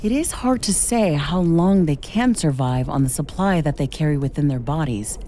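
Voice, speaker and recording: Woman as a composed, mellow-voiced scientist-commando. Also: low-pitched, moderate pace, slight background noise, lightly acted. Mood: analytical, composed. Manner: composed, matter-of-fact, analytical. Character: female scientist-commando in a mech suit, composed, determined. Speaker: game protagonist. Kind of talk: scripted video game dialogue.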